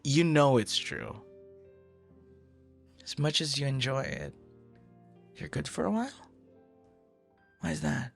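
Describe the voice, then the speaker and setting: A man acting as a young romantic with a slow, lilting delivery and a gravelly edge. He rambles hesitantly and drops into soft asides, as in an intimate boyfriend audio roleplay. Soft music plays behind him. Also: mid-range voice, big pitch swings, heavily acted. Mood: teasing.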